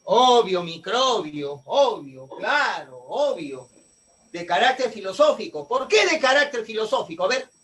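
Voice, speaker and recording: A man teaches a history class, often tossing questions to students and answering them himself. His voice sits very high for a man. He sounds a bit distant from the mic. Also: sing-song, unhurried, fairly bright tone. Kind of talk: online class lecture.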